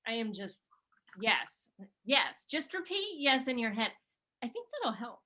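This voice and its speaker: A woman's voice: on the high side, sing-song, a little slow, pushed and bright, exaggerated voice. A woman playing a blunt but encouraging hairstylist in a screenplay table read, casual and emphatic.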